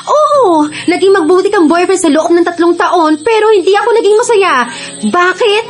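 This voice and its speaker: A woman's voice: very high-pitched, lively intonation, moderate pace, soft background music. A woman acting as a weary, guilt-ridden young girlfriend ending a romance in a Filipino radio drama, emotional and apologetic. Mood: firm, weary.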